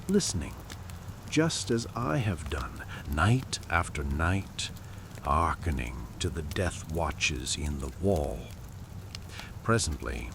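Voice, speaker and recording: A man voicing an obsessed, cunning madman in dramatic gothic storytelling, theatrical and deliberate, dropping into hushed emphasis. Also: on the low side, heavily acted. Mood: hushed dread. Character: obsessed, cunning madman boastfully confessing a murder. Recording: steady background noise of fire and rain. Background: crackling fire and rain.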